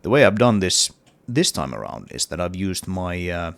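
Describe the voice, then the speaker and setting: Man, very low gravelly voice, lively pitch, getting over a cold, hesitant game-dev walkthrough. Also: moderate pace, no echo. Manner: hesitant, many fillers and restarts. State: has a cold, voice partly lost. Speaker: indie game developer. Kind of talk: casual devlog walkthrough.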